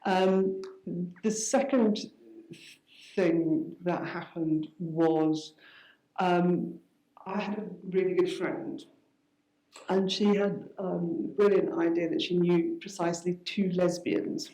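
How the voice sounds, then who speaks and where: A woman's voice: lowish voice, fairly even intonation, slow, warm tone. A woman in her sixties who grew up in Scotland, telling her story to an audience at a live event. She is dry and self-mocking, and she often stops and restarts her sentences. The sound is roomy, as in a hall. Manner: wry, self-deprecating, many restarts and fillers. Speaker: guest speaker. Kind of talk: conversational talk on stage.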